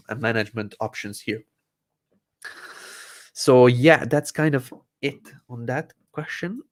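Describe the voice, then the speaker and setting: Man explaining software setup. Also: fairly low pitch. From Croatia. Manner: hesitant, many fillers and restarts, explains step by step. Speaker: host answering user questions. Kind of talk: live Q&A tech support walkthrough.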